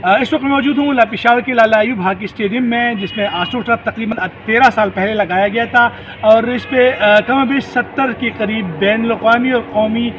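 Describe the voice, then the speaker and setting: A man in his forties giving an on-location news report at an outdoor stadium, pausing often with fillers as he talks. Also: extremely high pitch, fairly bright tone, highs cut off, a little hiss or hum. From Pakistan. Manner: hesitant, with many filler pauses. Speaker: field reporter. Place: outdoor hockey stadium, daytime.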